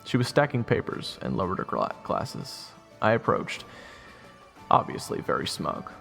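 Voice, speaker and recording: A man's voice: low-pitched, huge pitch swings, unhurried, gravelly, fairly bright tone, soft background music, exaggerated voice. A man playing a flippant, evasive young adventurer in an audio roleplay, wry and casual with awkward hesitations. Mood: wry narration. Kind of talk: audio roleplay acting with first-person narration.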